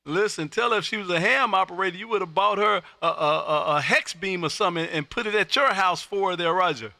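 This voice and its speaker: A man's voice: sits high, fast, vocal fry, bright, forceful tone, no echo. An exuberant, folksy man hosting an amateur radio livestream, chatting on air and often repeating his phrases. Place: home radio room.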